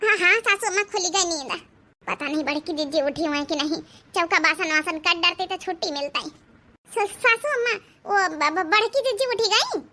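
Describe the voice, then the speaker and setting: A woman's voice: very high-pitched, expressive, moderate pace, sharp tone, exaggerated voice. A woman voicing a lazy, flustered younger daughter-in-law who protests in Awadhi-accented comic cartoon dialogue. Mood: nervous.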